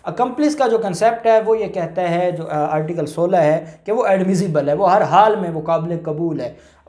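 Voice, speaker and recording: A man with a Pakistani accent giving an informal legal explanation, halting and rephrasing his points as he goes. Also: sits high, darkish tone. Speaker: lawyer explaining law. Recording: roomy, echoing indoor sound.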